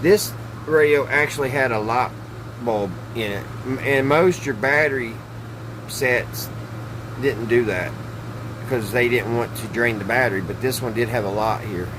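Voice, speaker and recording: A man's voice: mid-range voice, normal speed, background noise. A middle-aged man chatting casually and thinking aloud in a folksy, hesitant way as he demonstrates hands-on tinkering. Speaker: host of a hobby radio repair channel. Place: small wood-panelled indoor workshop.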